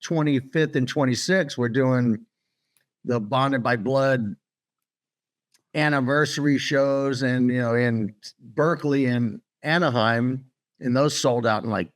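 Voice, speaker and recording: A man's voice: mid-range voice, takes it slowly. A man in his sixties, tired, talking bluntly and swearing freely in a casual interview chat over a video call. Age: 60s. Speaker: guest guitarist.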